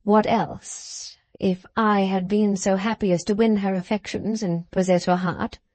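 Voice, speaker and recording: A woman doing a calm, dignified young man. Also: medium pitch, unhurried, dry recording, lightly acted. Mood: restrained. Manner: calm, restrained.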